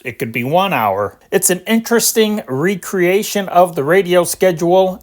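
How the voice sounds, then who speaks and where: An American man in his fifties hosting a vintage radio show, explaining things in an informal, conversational way with chatty asides. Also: fairly high pitch. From United States, Chicago area. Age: 50s. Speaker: host of a vintage radio podcast.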